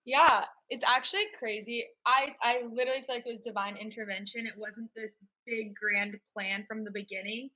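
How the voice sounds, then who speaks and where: Bright, upbeat woman interview guest. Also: fairly high pitch, fairly even intonation, normal speed, hardly any pauses, dull audio. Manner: upbeat, eager storytelling. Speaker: podcast guest, business co-founder. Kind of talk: casual interview storytelling.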